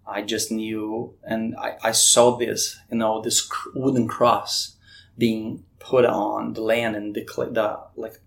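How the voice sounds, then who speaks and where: A man's voice: fairly low pitch, level tone, slow, creaky. A man from Brazil with a Brazilian Portuguese accent, telling his missionary testimony in an earnest way and acting out remembered conversations with many restarts. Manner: earnest, retells dialogue with frequent restarts. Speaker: missionary guest. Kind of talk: storytelling testimony.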